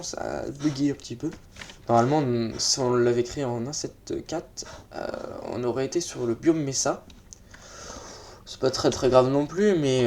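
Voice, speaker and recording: Male let's play host, slightly congested, casual and hesitant, mid pitch, faint noise with keyboard clicks. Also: vocal fry, darkish tone. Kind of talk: casual gameplay commentary. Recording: noisy mic with keyboard clicks.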